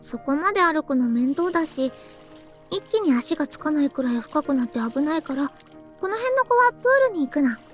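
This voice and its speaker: Casting: young female visual novel lead, high voice, brisk pace. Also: very animated, soft background music. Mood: soft, gentle. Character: young woman character in a Japanese visual novel.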